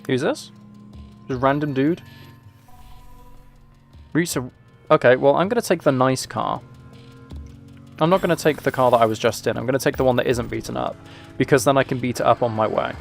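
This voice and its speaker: Male game streamer, mid-pitched with some fry, chatty and wryly dry, faint music underneath. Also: moderate pace, pauses often. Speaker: streamer playing a video game. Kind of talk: casual livestream gaming chat and commentary.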